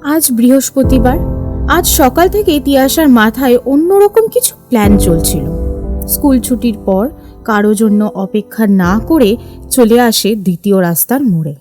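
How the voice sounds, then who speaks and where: High-voiced woman narrating a story. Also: expressive, normal speed, music in the background.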